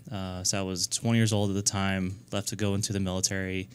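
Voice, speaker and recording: Man, 30s, from New Hampshire. Low, smooth voice; earnest interview guest who restarts often. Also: flat delivery. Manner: earnest, halting, many fillers and restarts. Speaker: guest, nonprofit founder. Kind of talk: casual radio interview.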